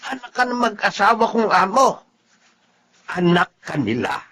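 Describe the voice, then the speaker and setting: A man's voice: high-pitched, big pitch swings, measured pace. A man playing a fussy but kindly middle-aged family driver in a Filipino radio drama, exclamatory and fondly scolding, with a Filipino accent. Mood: alarmed, fond scolding. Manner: exclamatory, scolding yet fond.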